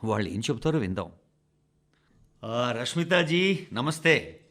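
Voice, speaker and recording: A man reading a scripted, formal radio address in speech, phrasing it in a measured, emphatic way with deliberate pauses. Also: mid-range voice, big pitch swings, fairly bright tone. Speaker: radio reader of a translated address.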